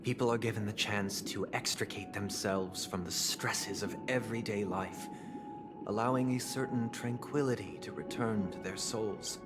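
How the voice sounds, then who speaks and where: An actor playing a composed, idealistic visionary who preaches order in a measured, grandiose, condescending way for a video game. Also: male voice, on the low side, lightly acted. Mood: patient, explanatory. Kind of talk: dramatic game voice acting, philosophical monologue. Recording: studio voice under background music. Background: game music.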